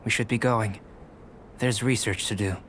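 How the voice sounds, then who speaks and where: An actor playing a calm, curious young male alchemist who talks in a measured, formal, clinical way, in a game. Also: lowish voice, a little hiss or hum, natural voice. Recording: game audio with clear music behind.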